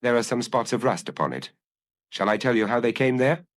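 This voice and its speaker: A man acts out a hard-nosed ex-inspector in a detective audiobook. He speaks dryly and precisely, with animated pitch swings and a firm, commanding edge as he presses his mark. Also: fairly quick, lightly acted. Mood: firm, pressing. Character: dry, hard, commanding male ex-inspector blackmailer. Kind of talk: audiobook character acting.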